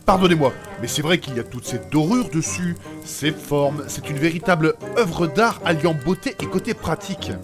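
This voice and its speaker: A courteous, deferential admirer with a very low voice, acted by a woman. The speech is formal and flattering, in a fantasy comedy audio drama with music underneath. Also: moderate pace, few pauses. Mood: admiring.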